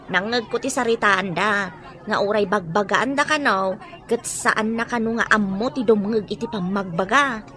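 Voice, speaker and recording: A woman's voice: medium pitch, normal speed, bright, forceful tone, a faint crowd, a little hiss or hum, natural voice. A woman playing a gossipy, complaining but deferential household helper in an audio drama, with a Filipino accent. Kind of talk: Ilocano audio drama acting.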